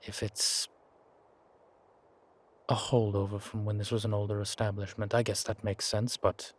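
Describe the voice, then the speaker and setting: A man playing a sardonic, weary vampire in an audio roleplay, speaking in a measured, formal, wry way. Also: on the low side, little pitch movement, natural voice. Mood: musing, calm. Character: sardonic, weary male vampire, protective of a little companion. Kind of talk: scripted audio roleplay drama.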